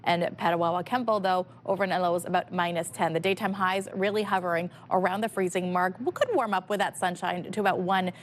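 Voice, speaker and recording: A woman's voice: fairly low pitch, fairly even intonation, brisk, hardly any pauses, a little hiss or hum. A woman presenting a live television weather forecast in a conversational broadcast style.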